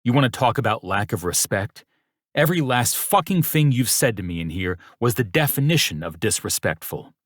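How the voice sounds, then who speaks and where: A man's voice: fairly low pitch, animated, measured pace, few pauses, no echo, clean studio sound. A man voicing an angry, defiant younger man in an audiobook, bitter, sarcastic and sweary. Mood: furious. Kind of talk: audiobook character dialogue.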